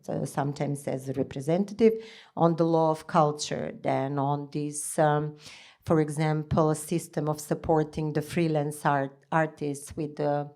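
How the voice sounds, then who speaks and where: Woman panelist with a very low voice, halting, pausing on fillers. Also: dark tone. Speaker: panel speaker. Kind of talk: panel talk.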